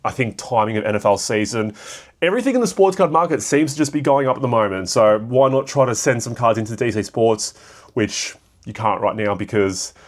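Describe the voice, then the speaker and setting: This is a young Australian man whose voice is raspy and a little worn from a cold. He talks at a middling pitch in a loose, rambling way, full of "uh" and "um" as he works through his thoughts out loud. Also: animated, fairly quick. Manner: rambling, filler-heavy, thinking aloud. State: hoarse from a cold. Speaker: card shop vlogger. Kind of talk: casual solo commentary.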